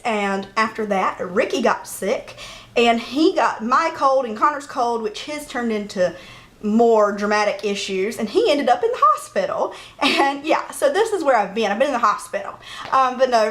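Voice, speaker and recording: Young female beauty vlogger, casual and chatty, slightly high pitch, rambling delivery. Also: fairly bright tone. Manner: chatty, apologetic, rambling with many "like" and "um". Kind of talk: casual monthly favorites vlog.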